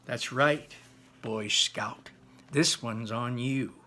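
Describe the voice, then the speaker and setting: A man's voice: medium pitch, drawn-out words, pushed and bright. A man voicing a harsh, taunting teenage bully in a storybook, accusing and sneering.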